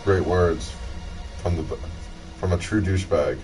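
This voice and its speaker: A man's voice: low-pitched, a little slow. A man with an American accent chatting casually on a gaming livestream, rambling, joking and swearing in a sardonic way. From Michigan, United States. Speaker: game livestreamer. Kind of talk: casual rambling banter between friends. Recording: distant mic with music playing.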